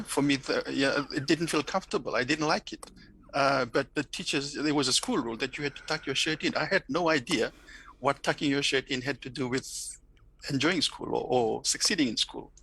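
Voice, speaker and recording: A man's voice: medium pitch, sharp tone, breathy edge, dry recording, low-quality recording. A middle-aged man from Fiji, speaking English with a Fijian accent, reflecting thoughtfully in an interview with many restarts and repeated words. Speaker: interview guest, academic.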